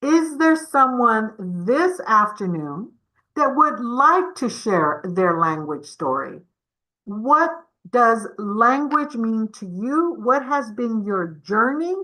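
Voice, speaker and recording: A woman's voice: on the high side, very animated, takes it slowly, pushed and bright, low-quality recording. A woman giving a community webinar presentation over a video call, encouraging and addressing the audience with questions.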